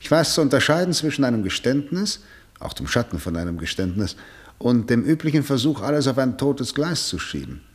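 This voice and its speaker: A stern senior prosecutor, acted by a man in a 1960 German radio play. His speech is formal and insistent, with highly animated intonation as he probes and questions. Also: a little fast, close to an everyday voice. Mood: stern, probing.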